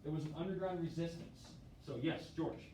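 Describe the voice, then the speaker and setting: Man, classroom teacher, energetic and prompting, very echoey classroom from afar, clear background noise. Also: fairly high pitch, brisk, recorded from a distance. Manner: energetic, prompting students, acts out scenarios.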